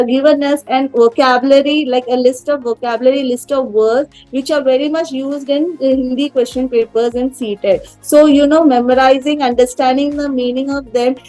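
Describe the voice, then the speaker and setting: An Indian woman in her thirties talks earnestly as an interview guest, her voice high and warm. She pauses often with fillers and restarts her sentences. Faint music sits underneath. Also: rough recording. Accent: Indian English. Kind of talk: casual interview talk.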